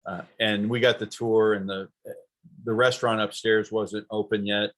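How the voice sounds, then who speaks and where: A man's voice: fairly low pitch, little pitch movement, normal speed, a bit bright. A man hosting a golf podcast interview, chatting enthusiastically and asking friendly questions. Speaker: podcast host. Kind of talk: casual interview chat.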